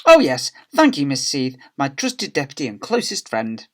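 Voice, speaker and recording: A man's voice: mid-range voice, huge pitch swings, moderate pace, fairly bright tone. A man voicing a kindly, doddery and very old headmistress from a children's story, cheerful and polite. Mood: grateful, cheery.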